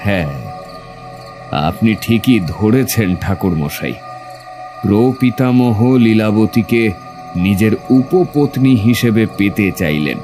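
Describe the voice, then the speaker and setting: A man acts out the doomed heir of a cursed landlord family in a horror audio drama. He speaks very slowly and gravely, haunted and afraid, with soft music running underneath. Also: fairly low pitch.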